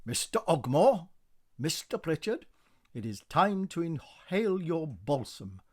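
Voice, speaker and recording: A man's voice: on the high side, big pitch swings, measured pace, voice pushed up. A man voicing a bossy, prim, house-proud widowed landlady with a fussy, commanding manner in a radio play. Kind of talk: radio play verse drama.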